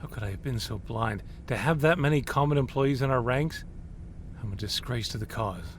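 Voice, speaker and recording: A man's voice: medium pitch, normal speed, a little hiss or hum, lightly acted. A man voicing the stern chairman of a rebel organization in an audio drama. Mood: self-reproaching. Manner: stern, commanding.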